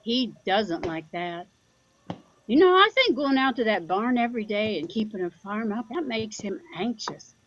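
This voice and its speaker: A woman's voice: medium pitch, animated, moderate pace, fairly bright tone, dull audio, slight background noise, natural voice. A woman playing a plain-spoken rural Midwestern mother in an audio drama, dry, folksy and teasing with her grown son. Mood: wistful. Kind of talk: audio drama acting, conversational.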